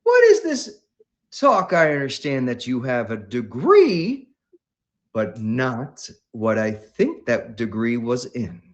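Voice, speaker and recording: A man hosting a livestream, talking in an enthusiastic, rambling way with many halting pauses. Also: medium pitch, huge pitch swings. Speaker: livestream host.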